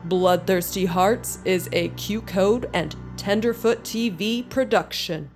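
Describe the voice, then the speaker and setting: A woman announcer reading scripted credits in a measured, orderly way. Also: mid-range voice. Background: music.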